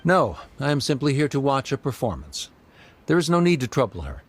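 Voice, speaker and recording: Formal scholar father with animated intonation. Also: medium pitch, a little fast. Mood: composed, formal. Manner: formal, stiff, hesitant, precise wording. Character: formal, awkward scholar father, middle-aged, proud but caring. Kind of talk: video game voice acting.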